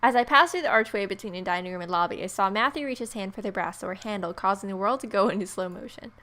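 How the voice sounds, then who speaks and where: A woman's voice: medium pitch, fast talker. Wry woman reading a visual novel aloud. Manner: playful, wry, expressive reading. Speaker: gamer, video host. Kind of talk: let's play read-aloud with casual asides.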